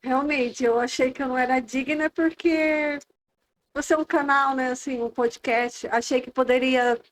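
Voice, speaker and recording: High-voiced Alagoas woman, earnest guest. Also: fairly even intonation, moderate pace, low-quality recording. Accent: Northeastern Brazilian, Alagoas. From Alagoas, Brazil. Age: middle-aged. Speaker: podcast guest. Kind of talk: testimony interview.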